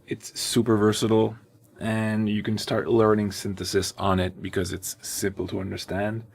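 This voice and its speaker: A man's voice: lowish voice, monotone, moderate pace, gravelly, a little mellow. A man in his thirties explaining gear in a matter-of-fact way in a music studio. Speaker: musician giving a studio tour. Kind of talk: casual chat. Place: wood-panelled music studio.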